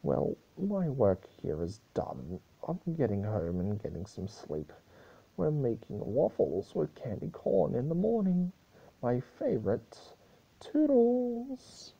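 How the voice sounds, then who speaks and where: A man's voice: medium pitch, wildly swooping pitch, takes it slowly, vocal fry, husky, highs cut off, pitched above the performer's own voice, heavily acted. A man voicing a flippant, mischievous male chaos creature with a teasing, blunt manner.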